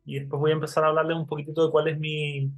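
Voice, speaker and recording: A man's voice: on the high side, completely flat delivery, racing. A young man from Chile, with a Chilean accent, giving a live online talk about his art and stopping often with fillers and restarts. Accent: Chilean Spanish. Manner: hesitant, many fillers and restarts.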